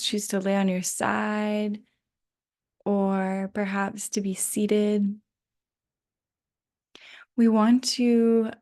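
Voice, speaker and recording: A woman guiding a restful yoga nidra meditation in a gentle, unhurried manner with long pauses, her voice drifting into breathy near-whispers. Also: mid-range voice. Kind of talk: guided rest meditation.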